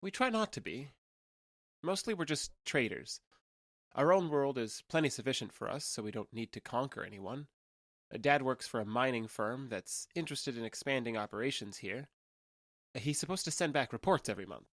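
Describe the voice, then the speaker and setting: A man's voice: medium pitch, animated, moderate pace, fairly bright tone, dry recording. Candid, awkward young alien guy, dry sound. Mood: matter-of-fact. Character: awkward, candid young male alien trader passing as human. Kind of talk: audio drama dialogue.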